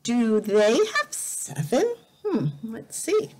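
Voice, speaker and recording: A woman teacher reading a picture book aloud as a lesson for young learners, deliberate and expressive, repeating words carefully. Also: on the high side, a little hiss or hum. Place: indoor room, at a desk.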